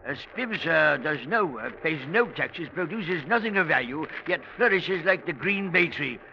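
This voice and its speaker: An actor playing a stuffy, pompous old British army captain in an old radio drama. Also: male voice, a little high, animated, a little slow, creaky. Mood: disapproving. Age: older. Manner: pompous, formal. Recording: muffled, noisy old radio recording.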